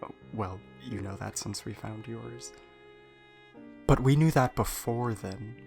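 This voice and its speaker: Weary, slow-talking man, halting and guilt-ridden. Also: lowish voice, vocal fry, a little mellow, soft background music. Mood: hesitant, reflective. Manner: hesitant, apologetic, confessional. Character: weary, guilt-ridden ailing male starship crew member confessing. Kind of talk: audio drama acting.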